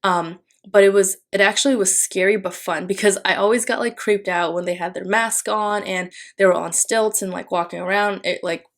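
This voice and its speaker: A woman's voice: mid-range voice, steady tone, normal speed, few pauses, a bit bright. A young woman from the Philippines whose first language is Tagalog, chatting casually in a voice-over while decorating a planner, rambling with many fillers and restarts. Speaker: planner vlogger.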